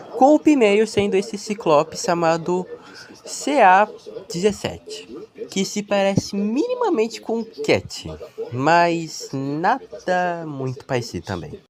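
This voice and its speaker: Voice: medium pitch, very animated, a little slow, crowd noise. A narrator with a Brazilian accent giving a casual, explanatory commentary voice-over as a video creator.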